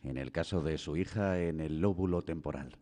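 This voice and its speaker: An actor with a Castilian Spanish accent playing an authoritative, reassuring doctor in a radio drama. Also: male voice, very deep voice, normal speed, dark tone. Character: calm, authoritative male doctor reassuring a worried mother. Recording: reverberant studio radio drama.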